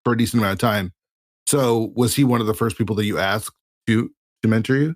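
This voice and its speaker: A man hosting a casual podcast interview, relaxed and encouraging, often repeating words. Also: on the low side, fast, dry recording, studio quality. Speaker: podcast host.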